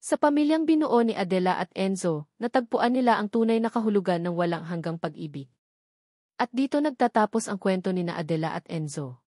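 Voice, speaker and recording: A woman's voice: medium pitch, lively intonation, brisk, mellow, no echo. Close, dry-voiced woman narrating. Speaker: story narrator. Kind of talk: scripted sentimental story narration.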